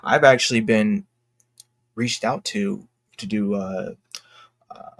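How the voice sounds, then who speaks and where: A young man talking casually and candidly to his fans in a halting, reflective, earnest way, as an independent creator. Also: lowish voice, dry recording, studio quality. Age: young adult. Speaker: indie comic creator and vlogger.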